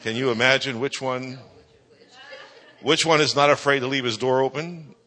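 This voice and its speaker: A man's voice: mid-range voice, normal speed, bright, forceful tone, a faint crowd. A man speaking to a church congregation, giving a prayer update and introducing his wife, with a jokey manner. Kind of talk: church announcements. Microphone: microphone. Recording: church hall reverb.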